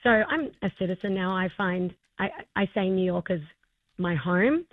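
A woman's voice: mid-range voice, normal speed, dry recording, phone-quality sound. A middle-aged woman with an Australian accent, speaking enthusiastically and effusively as a guest in a conversational interview. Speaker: interview guest, arts festival co-founder.

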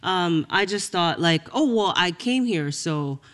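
A woman's voice: on the low side, lively intonation. Playful, rambling woman from Japan. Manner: playful, rambling, quick laughs. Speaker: comedian guest. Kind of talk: casual interview chat.

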